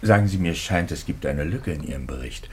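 A man's voice: deep voice, expressive, a little fast, slight background noise. An actor playing a loyal, earnest clergyman in a 1950s radio play, formal and emphatic in manner. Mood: impassioned. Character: loyal, earnest male clergyman, firm in defending a colleague.

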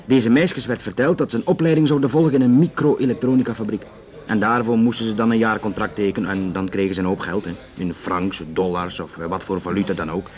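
A man's voice: fairly low pitch, steady tone, fast talker, a little mellow, lightly acted. Flemish police investigator, acted by a man, talking casually. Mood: matter-of-fact, confiding. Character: Belgian police investigator, talkative and matter-of-fact. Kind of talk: naturalistic radio drama dialogue.

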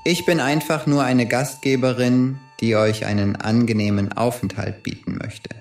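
A man's voice: medium pitch, fairly even intonation, normal speed, creaky, a little mellow, soft background music, natural voice. A man playing a self-assured female Venus goddess and temple hostess in an audio drama, speaking formally and with composure. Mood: poised.

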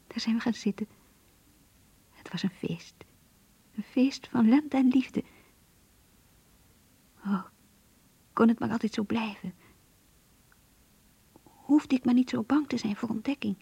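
A breathy young woman in love. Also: fairly high pitch, takes it slowly, pauses often, no room sound, dull audio, exaggerated voice. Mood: happy, dreamy. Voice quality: breathy, whispery. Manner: intimate, hushed, confiding. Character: young woman in love, yearning, earnest, anxious diarist. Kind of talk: radio drama diary narration.

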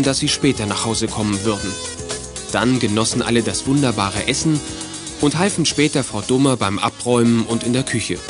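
A man narrating a 1980s children's detective radio drama in a composed, measured storytelling manner. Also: medium pitch, close to an everyday voice. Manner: measured, clearly scripted storytelling.

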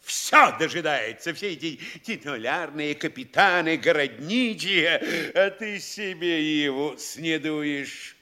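A gloating provincial mayor in a classic comedy radio play. His voice is fairly high for a man and swoops widely as he blusters and exclaims. He takes his time, savoring each boast and threat. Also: expressive, slow, few pauses, pushed and bright, full character voice. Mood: gloating amusement. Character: boastful, gloating provincial Russian town mayor, middle-aged family man. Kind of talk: classic Russian stage comedy audio play.

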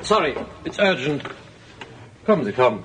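An actor playing a matter-of-fact male security officer with a husky voice, reporting in a radio drama. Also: fairly high pitch, very animated, moderate pace, noisy background. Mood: urgent.

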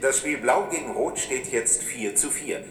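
A man's voice: medium pitch, unhurried, close to an everyday voice. A man playing a stadium announcer who promotes scores and bets in a radio play. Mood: promotional. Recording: crowd noise behind.

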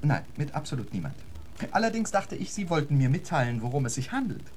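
An actor playing a witty, urbane gentleman poet in a radio drama, elaborately polite, wry and eloquent. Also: on the high side, very animated, fast, slight background noise. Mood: courteous, breezy.

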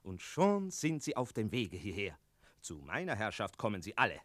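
A bold, gossipy cat in a radio play. The voice is sharp and very animated, sliding up and down in a meowing drawl, recorded dry and close. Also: male voice, fairly low pitch, no echo, heavily acted. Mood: proud announcing. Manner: meowing, drawn-out, swooping delivery. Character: cheeky talking tomcat, bold and gossipy. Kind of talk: German radio play acting.

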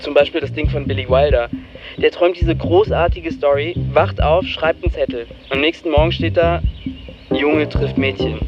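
A man's voice: fairly high pitch, animated, normal speed. An actor playing a wry young man who narrates his own story in a dry, ironic way for radio drama. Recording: dull band-limited sound, music behind.

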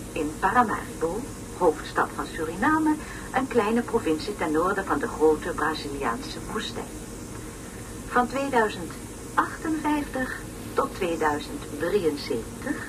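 An actress playing a pompous, long-winded woman lecturer on an educational tape in a radio play, speaking in solemn, over-articulated phrases. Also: on the low side. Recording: noisy, distant old radio play recording.

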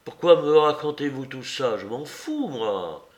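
A man's voice: mid-range voice, lively intonation, unhurried, lightly acted. A man reading aloud and voicing a young male clerk who speaks in a dry, offhand, dismissive way. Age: young adult.

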